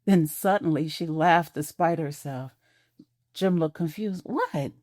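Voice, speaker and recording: A woman narrating an audio drama in a measured storytelling manner, recorded close and dry. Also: sits low, darkish tone, dry recording.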